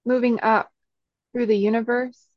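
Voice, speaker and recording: A woman with a slightly high voice guides a meditation very slowly. She gives soothing instructions in short phrases and leaves long pauses between them. The recording is dry and a little dull. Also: little pitch movement, no echo.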